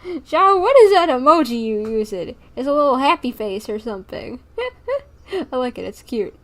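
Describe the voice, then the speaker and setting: A woman's voice: high-pitched, big pitch swings, normal speed. A woman livestreamer chatting informally with her viewers, rambling and easily sidetracked. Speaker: live streamer. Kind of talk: casual livestream chat with viewers.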